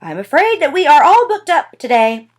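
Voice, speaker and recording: Stern, prim receptionist, clipped and haughty, pitched up high, read by a woman. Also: sing-song, moderate pace. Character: stern thin-lipped woman dental receptionist.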